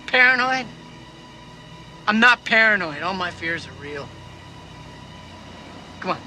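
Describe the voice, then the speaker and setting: A man's voice: sits high, lively intonation, slow pace, rough recording. A man playing an agitated, defiant, paranoid rich teenage boy in a film, with music behind. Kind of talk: film acting.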